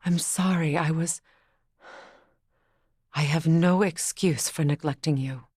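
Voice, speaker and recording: Proud warrior goddess, video game drama, low voice, commanding, guarded, solemn, dry close sound. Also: female voice, slow, a little mellow, slightly rough, no room sound, heavily acted. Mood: sincere, subdued.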